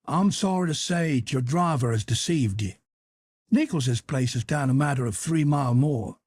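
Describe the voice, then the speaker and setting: A man reading a story aloud, voicing a courteous old gentleman. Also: mid-range voice, normal speed, no room sound, studio quality, lightly acted.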